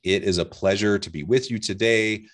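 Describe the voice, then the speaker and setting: A man's voice: lowish voice, normal speed. A man hosting a podcast interview, upbeat and formal as he introduces his guest. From Utah. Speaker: podcast host.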